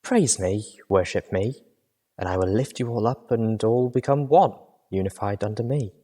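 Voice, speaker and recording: A man voicing a gentle, refined healer mare who speaks in a hushed way. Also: lowish voice, normal speed, husky. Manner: soft, hushed.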